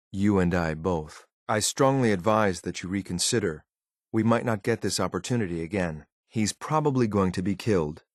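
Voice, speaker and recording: An actor voicing a cold, disciplined synth hunter in a video game, speaking in a clipped, curt, formal and authoritative way. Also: male voice, sits low, no room sound, clean studio sound. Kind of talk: scripted video game voice lines.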